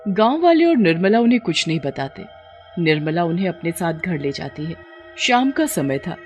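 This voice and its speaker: A woman's voice: fairly low pitch, sing-song, normal speed, darkish tone, highs cut off, soft background music. A woman reading a scripted story as its narrator.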